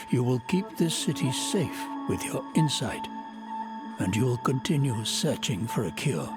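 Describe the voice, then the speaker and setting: A man's voice: medium pitch, close to an everyday voice. A man playing a stately councilor, widely swooping delivery. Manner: formal, stately, pronouncing. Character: formal, magnanimous male city councilor, authoritative. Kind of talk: video game dramatic dialogue.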